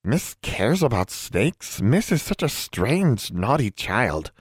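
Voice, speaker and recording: A man voicing a wizened old female house elf servant, anxious and stern, high-pitched and speaking of herself in the third person. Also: sing-song, slow pace, husky, no room sound, heavily acted. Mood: murmuring, puzzled.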